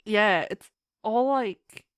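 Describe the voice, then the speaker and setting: A woman chatting casually as a podcast guest, telling stories in an animated way and acting out quotes. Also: mid-range voice, extremely slow, clean tone, dry recording, studio quality. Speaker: podcast guest, fan musician and writer. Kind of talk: casual chat.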